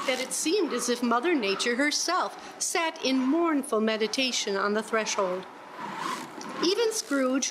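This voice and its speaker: A woman reading scripted, dramatic narration in the style of a radio play. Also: high voice. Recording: noticeable background noise, live reading.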